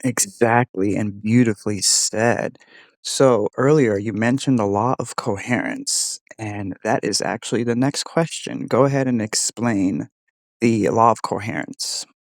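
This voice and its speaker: A man's voice: on the low side, measured pace, dry recording. A man hosting a voice-model test, talking earnestly in a conversational, explanatory way. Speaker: host testing an AI voice model.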